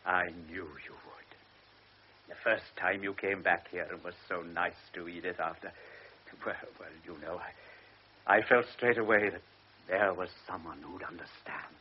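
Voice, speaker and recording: A frail anxious old baron. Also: male voice, low voice, steady tone, unhurried. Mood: pleading. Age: older. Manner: earnest, stammering, drops to hushed tones. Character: frail elderly baron, anxious devoted father. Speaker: aging baron and anxious father. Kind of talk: classic radio drama acting. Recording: lo-fi radio recording with faint hiss.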